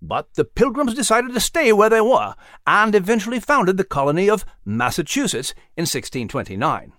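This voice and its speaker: A man acts out an erudite animal scholar for a storybook. He lectures precisely and properly, with a lively melody and a somewhat high male pitch. The sound is dry and close. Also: normal speed, dry recording, exaggerated voice. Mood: earnest, explaining. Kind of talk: audiobook storybook character voice.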